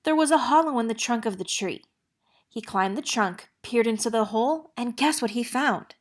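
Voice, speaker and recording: A woman narrating a children's picture book in an expressive storytelling manner. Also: on the high side, normal speed. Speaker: read-aloud narrator.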